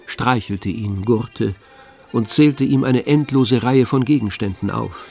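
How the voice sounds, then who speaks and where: An actor playing the detached, wry narrator of a literary radio play, with a gravelly voice. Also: male voice, medium pitch, slow pace, darkish tone, faint music behind.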